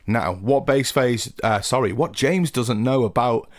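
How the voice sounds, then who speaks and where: A man's voice: mid-range voice, a little slow. A man hosting a podcast, upbeat and chatty, calling his guest mate. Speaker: podcast host.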